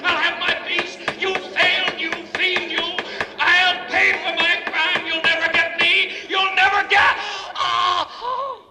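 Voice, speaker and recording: Condemned killer, high frantic voice, hysterical defiance, 1940s radio drama, loud music behind. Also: male voice, fairly even intonation, takes it slowly, a loud crowd, exaggerated voice, rough recording. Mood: frantic, defiant. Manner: hysterical shouting. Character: condemned murderer, frantic, tormented, defying a devilish fiend. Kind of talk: 1940s radio horror acting.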